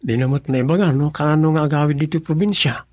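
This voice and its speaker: An actor playing an earnest, lovelorn young provincial farmer in an Ilocano radio drama, with an Ilocano accent. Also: male voice, a little high, fast, darkish tone, no room sound, close to an everyday voice. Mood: earnest, worried.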